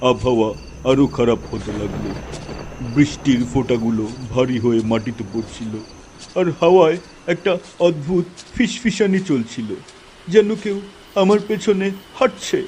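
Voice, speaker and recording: A man's voice: medium pitch, dark tone, a little hiss or hum. A man narrating a scripted horror story in the first person, in a dramatic style. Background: music, night insects.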